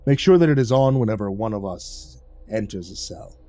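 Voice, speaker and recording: Stern formal keeper, low and mellow, unflappable, voiced by a male narrator. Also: animated, faint music behind, natural voice. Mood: calm, instructive. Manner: measured, formal, no contractions.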